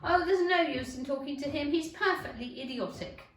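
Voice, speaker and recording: A woman's voice: sits high, moderate pace, a little hiss or hum, pitched above the performer's own voice, lightly acted. A woman voicing a proper, plaintive young girl in a storybook, polite but easily exasperated. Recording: roomy echo, distant mic.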